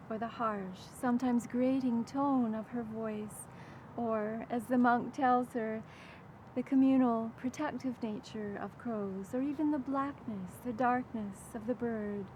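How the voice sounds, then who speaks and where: Older woman interviewer, higher-pitched voice. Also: fairly even intonation, measured pace, poor audio. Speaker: interviewer, event host. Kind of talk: prepared literary interview questions, reading out audience questions. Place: outdoors in a rose garden. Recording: clear outdoor background noise.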